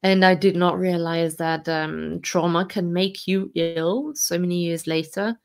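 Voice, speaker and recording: A woman in her forties from Austria, a podcast guest telling her story candidly, reflective and laughing softly between hard memories. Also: lowish voice, steady tone, measured pace.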